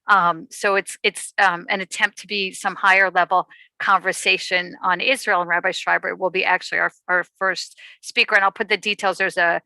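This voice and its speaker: A woman with a bright, forward voice on the lower side, recorded dry and close on a video call. She is a rabbi hosting an online talk, introducing and prompting in a halting, conversational way. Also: little pitch movement, no echo. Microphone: video call microphone.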